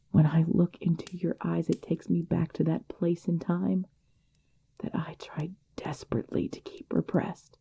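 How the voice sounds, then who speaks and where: Slow, creaky-voiced vampire queen, acted by a woman. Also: low-pitched, mellow, full character voice. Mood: cold, haughty, then haunted, bitter. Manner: slow, solemn, dramatic, often whispering. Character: commanding female vampire clan leader, possessive, tender lover. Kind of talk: dark ASMR roleplay acting.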